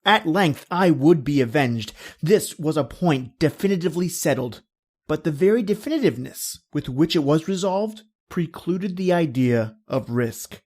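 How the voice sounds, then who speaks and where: A man playing a cold, cunning, vengeful nobleman who narrates a horror tale in a theatrical, deliberate, formal way. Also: on the high side, lively intonation, darkish tone. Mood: brooding, resolute. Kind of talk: dramatic horror storytelling in old radio manner.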